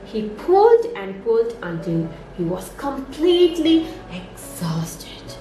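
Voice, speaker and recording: A woman reading a children's story aloud as a nursery storyteller, in an expressive, sing-song way meant for young children. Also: a little high, takes it slowly. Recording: roomy echo, music underneath.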